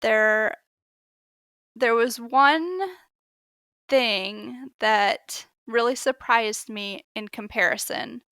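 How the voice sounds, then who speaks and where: A woman's voice: a little high, very slow, bright, forceful tone, clear, resonant tone, no echo, studio quality. A woman in her thirties or forties, a podcast co-host chatting casually and animatedly about books she has read. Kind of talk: casual book chat.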